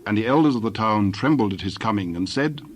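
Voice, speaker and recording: A man narrating a dramatized scripture reading in a measured, solemn, scripted manner. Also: fairly low pitch, animated, a little hiss or hum.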